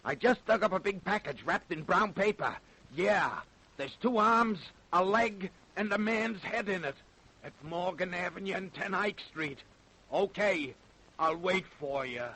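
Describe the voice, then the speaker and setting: Casting: tough working-class New Yorker, bright forceful voice, slightly high, vintage radio style. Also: male voice, unhurried, exaggerated voice, poor audio. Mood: matter-of-fact. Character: gruff, defensive working-class Brooklyn man. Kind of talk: old-time American radio drama acting.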